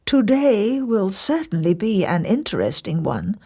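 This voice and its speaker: A woman voicing a calm, mischievous empress in a fairy tale, speaking in a measured, knowing way. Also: mid-range voice, breathy edge, heavily acted. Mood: wry. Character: calm, slyly mischievous empress, the emperor's wife.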